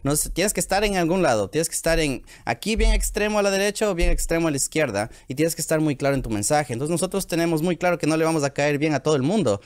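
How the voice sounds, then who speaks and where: A man's voice: on the high side, expressive, fast, hardly any pauses, no echo, studio quality. A man from Ecuador with an Ecuadorian accent co-hosting a business podcast in casual talk, often affirming the other speaker. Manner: affirming, frequent back-channel "ujú". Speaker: podcast co-host.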